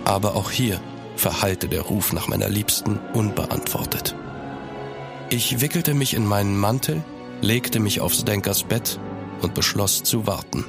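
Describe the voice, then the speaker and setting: An actor playing an ardent young nobleman in a horror audio drama, earnest and expressive. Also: lowish voice, a little slow, vocal fry, over music. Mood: brooding narration. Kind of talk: dramatic first-person narration and dialogue.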